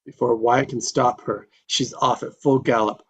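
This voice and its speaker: A man's voice: medium pitch, fairly even intonation, moderate pace, breathy edge. A man reading stage directions as narrator at a table read, in a descriptive storytelling style, on a dull, slightly noisy recording. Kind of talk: scripted screenplay narration at a live table read. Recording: dull, lo-fi sound with faint noise.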